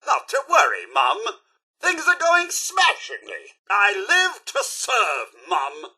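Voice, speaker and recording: A man's voice: high-pitched, pushed and bright, breathy edge, full character voice, poor audio. A man voicing a fussy, loyal robot butler in a video game, with a British accent and a formal, theatrical, dryly polite delivery. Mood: sarcastic servility. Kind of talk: scripted video game character lines.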